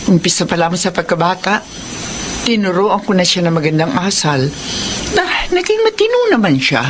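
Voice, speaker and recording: A woman's voice: fairly low pitch, very animated, moderate pace, noisy background. An actress playing a grieving, devout older mother-in-law in a Filipino radio drama, solemn and admonishing, with a Filipino accent. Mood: sad, resigned. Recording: music bed under voice.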